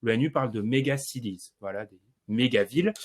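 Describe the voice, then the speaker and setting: A man's voice: medium pitch, brisk. A man co-hosting a podcast discussion, explaining points in a confident, wry way. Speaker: podcast co-host.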